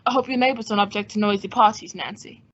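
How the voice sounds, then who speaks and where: A woman's voice: medium pitch, quick pace, fairly bright tone, close to an everyday voice. A woman playing a suave, wisecracking male adventurer-detective in an old-time radio drama, with a wry, composed, teasing manner. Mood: wry, cool. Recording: dull, muffled lo-fi sound.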